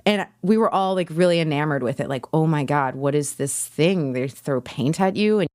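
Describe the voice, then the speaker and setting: A woman guest on a podcast tells a casual story in a chatty, conversational way. Her voice sits fairly low, and the sound is dry with little room around it. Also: moderate pace, darkish tone, no echo. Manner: chatty, peppered with "like", laughing as she talks.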